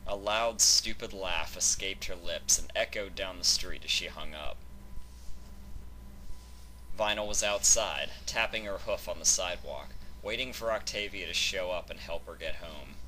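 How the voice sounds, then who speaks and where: A man's voice: deep voice, unhurried, bright, forceful tone, slight background noise, low-quality recording. A man reading a scripted fan fiction story aloud as its narrator.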